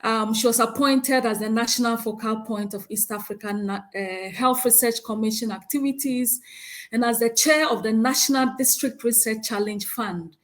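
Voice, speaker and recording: A woman with a Ghanaian accent chairs a conference session and reads out a speaker's biography, with frequent hesitant pauses. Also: fairly high pitch. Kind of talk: conference moderation, reading a speaker bio.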